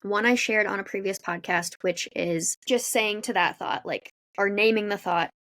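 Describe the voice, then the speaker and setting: A woman's voice: mid-range voice, moderate pace, a bit bright, no echo. A woman co-hosting a podcast, giving conversational advice in an encouraging, explanatory way. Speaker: podcast co-host.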